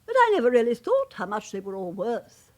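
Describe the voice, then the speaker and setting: An elderly, well-bred widow in a radio drama. Her voice is high and moves expressively, polite but anxious, with hesitant, wistful phrasing. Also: wildly swooping pitch, fairly quick, darkish tone. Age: older. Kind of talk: naturalistic British radio drama acting.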